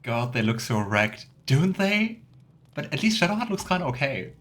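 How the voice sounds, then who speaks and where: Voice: mid-range voice, very animated, moderate pace, a bit bright. A let's player giving casual, musing commentary on a game and reading dialogue options aloud. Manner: musing, chatty asides.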